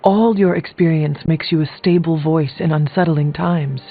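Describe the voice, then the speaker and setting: An actor playing a steadfast, loyal warrior in a video game, speaking formally and earnestly in plain words. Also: male voice, fairly high pitch, fairly even intonation, normal speed. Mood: earnest, reassuring. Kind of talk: video game character dialogue. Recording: muffled game audio under music.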